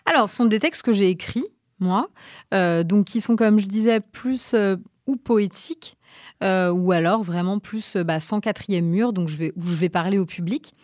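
A French-Italian woman with a French accent, a stage performer explaining herself in a radio interview, with hesitant pauses. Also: medium pitch, a little mellow, no room sound, studio quality. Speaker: guest, stage performer and singer. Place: radio studio.

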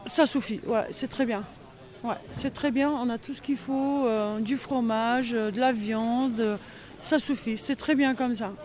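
A man's voice: very high-pitched, steady tone, measured pace, darkish tone. A man interviewed about village life, talking casually and hesitating with many fillers. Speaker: villager interviewed on local radio. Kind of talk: street interview, casual talk.

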